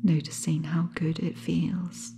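A woman guiding a sleep meditation in a soothing, gentle, unhurried way, with a soft, breathy, half-whispered voice. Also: low-pitched, flat delivery. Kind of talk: guided sleep hypnosis meditation, scripted.

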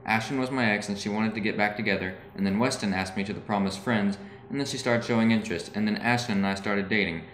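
Conflicted teen boyfriend man acting, lowish voice, audio drama, echoey room sound. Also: fast talker, few pauses, a bit bright, lightly acted. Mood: uneasy, defensive. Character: evasive, conflicted two-timing teenage boyfriend. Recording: roomy, noisy home recording.

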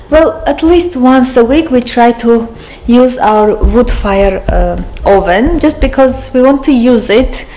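A woman's voice: a little high, dark tone, roomy sound, poor audio. A woman in her thirties whose first language is Armenian, hosting a cooking show in a large home kitchen and chatting casually, correcting herself and laughing at herself as she cooks. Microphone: handheld camera close up, then camera on counter.

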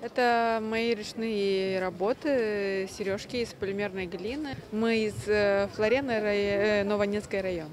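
A woman's voice: medium pitch, fairly even intonation, unhurried, few pauses. A woman craft vendor giving a hesitant, stumbling street interview at an outdoor fair. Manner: hesitant, stumbling over words. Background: crowd on a street.

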